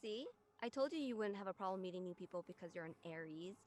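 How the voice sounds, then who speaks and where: A woman playing a chatty, supportive, trend-minded high-school student in an amateur script reading. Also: lively intonation, fast, darkish tone, lightly acted. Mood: encouraging. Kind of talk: amateur audio drama table read.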